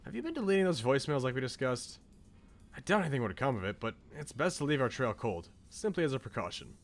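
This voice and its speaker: A man voicing a composed, cautious, bookish young man in a visual novel, polite and measured. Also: mid-range voice, sing-song, faint music behind. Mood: calm cautious.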